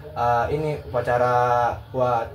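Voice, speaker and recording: A teenage boy from North Sumatra chatting casually with friends, often pausing to search for words. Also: mid-range voice, fairly even intonation, distant mic. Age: teens. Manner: hesitant, searching for words, slangy. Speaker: student. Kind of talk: casual chat. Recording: echoey room with faint noise.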